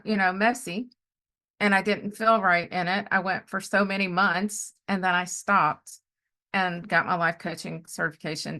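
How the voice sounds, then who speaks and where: A middle-aged woman chatting casually as a podcast host, telling personal stories of faith in an animated way and often acting out what people said. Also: lowish voice. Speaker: podcast host and life coach. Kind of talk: casual conversational storytelling with faith testimony.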